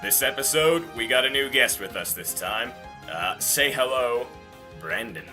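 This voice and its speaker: A man's voice: medium pitch, animated, a little slow, over music. A man hosting a podcast, chatting casually about game news in an informal, rambling, casually profane way. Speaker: podcast host.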